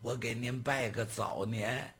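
Man playing desperate steward, groveling, whiny pleading, raspy. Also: medium pitch, steady tone, measured pace, fairly bright tone. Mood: fawning. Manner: whining, groveling, stammering.

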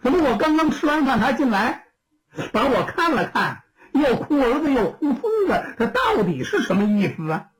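A storyteller voicing a young male warrior who asks quick, bewildered questions. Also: sits high, sing-song, pitched above the performer's own voice, rough recording.

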